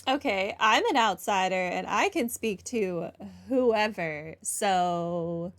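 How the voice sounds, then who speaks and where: A woman's voice: medium pitch, expressive, slow, fairly bright tone. Wry woman streaming a game. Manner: wry, talks back to the game. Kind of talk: casual playthrough commentary.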